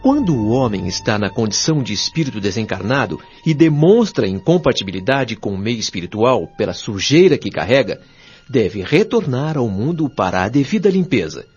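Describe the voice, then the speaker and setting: A man's voice: mid-range voice, darkish tone, slightly rough. A Brazilian man playing a patient, authoritative spirit guide in an audio drama, explaining things in a solemn, articulate, didactic way. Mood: earnest, instructive. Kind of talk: audio drama acting, expository dialogue. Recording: dull lo-fi audio over music.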